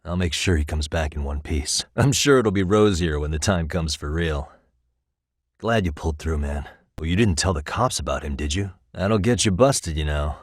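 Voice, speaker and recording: A man in his thirties voicing a calm, analytical private detective in a video game, speaking in a measured, conversational way. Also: rumbling low, no echo, clean studio sound. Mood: pensive, focused. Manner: measured, methodical, reasoning aloud. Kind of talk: English video game voice acting, conversational dialogue.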